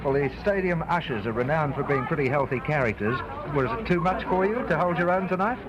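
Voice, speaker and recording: A man's voice: fairly high pitch, animated, fast. A man with an Australian accent, working as a radio news reporter, asking questions on the scene and reading reports. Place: on location, at venues and outside a hospital. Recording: noisy old radio recording on location.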